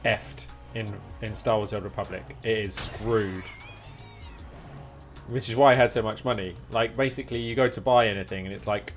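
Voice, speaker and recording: A man chatting casually and replying to viewers as he live streams a game. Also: fairly low pitch. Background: music.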